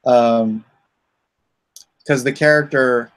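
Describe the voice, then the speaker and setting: A man's voice: mid-range voice, steady tone, takes it slowly, clear, resonant tone. A man hosting a casual livestream chat, rambling and thinking aloud. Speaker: comic artist hosting a livestream.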